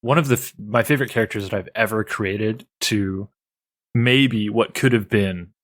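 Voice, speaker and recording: A man with a fairly low voice chats as a player on a tabletop game podcast. His tone is wry and mildly aggrieved, and the sound is close and dry. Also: normal speed, a bit bright, no echo. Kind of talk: casual tabletop podcast chat.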